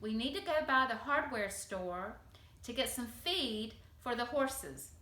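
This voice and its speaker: A woman's voice: fairly high pitch, lively intonation, unhurried, bright, forceful tone. A woman voicing a businesslike king father in a children's story, speaking plainly to his family. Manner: plain, hurrying the family along. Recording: echoey room.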